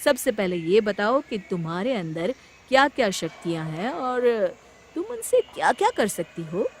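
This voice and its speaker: A woman's voice: mid-range voice, very animated, moderate pace, slight background noise, close to an everyday voice. A woman voicing a cunning, confident village man in a storybook tale, speaking persuasively.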